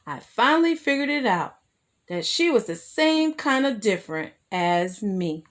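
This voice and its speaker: A woman's voice: medium pitch, big pitch swings, a little slow. A woman storyteller voicing a lonely, troubled homeless man in earnest children's storybook dialogue. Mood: warm, reflective.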